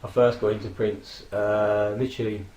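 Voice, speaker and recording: Hoarse man reminiscing in an echoey room. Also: lowish voice, flat delivery, normal speed, a little mellow. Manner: rambling, reminiscing, with frequent laughs and fillers. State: hoarse from a throat infection. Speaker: vlogger. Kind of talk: casual personal tribute monologue.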